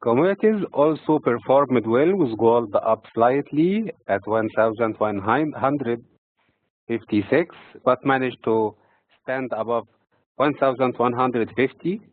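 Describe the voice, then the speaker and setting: A man from Egypt with an Egyptian accent reads prepared financial market commentary in a measured, halting way, breaking phrases mid-sentence. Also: medium pitch, no room sound. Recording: tinny, phone-like narrow-band audio.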